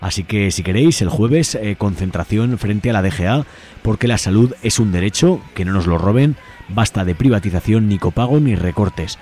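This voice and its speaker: A man's voice: on the low side, lively intonation, normal speed, darkish tone. A man hosting radio, reading out community event announcements in an informal, conversational way. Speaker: radio show host. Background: music.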